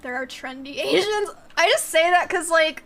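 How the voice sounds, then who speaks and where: A young woman streamer with a high, bright voice chats casually, giggling and dropping "like" as she answers chat. Mandarin was her first language. A faint hum of background noise sits under her voice. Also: lively intonation, normal speed. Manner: chatty, giggly, many "like" fillers, reads chat aloud.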